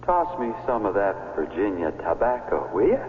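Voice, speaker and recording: An actor playing the ghost of a reproachful dead soldier in a radio drama, with an American accent and a ghostly reverb. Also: male voice, on the low side, very animated, normal speed. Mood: distant, easygoing. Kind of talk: 1970s radio drama acting. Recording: tinny radio, long echo, faint noise.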